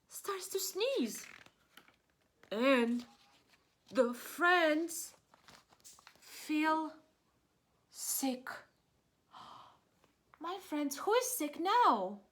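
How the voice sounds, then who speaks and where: A woman reading a children's storybook aloud as a preschool teacher, expressive, in simple child-directed speech with questions she answers herself. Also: high-pitched.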